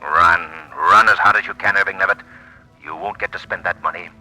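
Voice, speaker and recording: An actor playing a suave, knowing gentleman sleuth in a 1940s radio drama, speaking in a measured, theatrical way on an old recording. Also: low-pitched, fairly even intonation, full character voice, low-quality recording. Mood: menacing, mocking.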